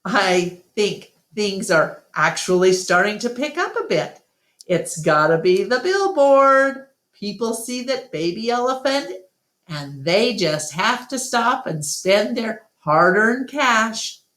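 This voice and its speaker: Woman putting on a higher-pitched, money-minded businessman. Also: lively intonation, slow, a bit bright. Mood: wry, upbeat. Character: brisk, money-minded male business owner. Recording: roomy, echoing room sound.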